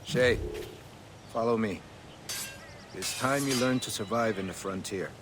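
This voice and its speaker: An actor playing a calm, serious hunter and teacher in a video game, speaking tersely and deliberately. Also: male voice, lowish voice, noisy background, close to an everyday voice. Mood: calm, instructive. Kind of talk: dramatic video game cutscene acting.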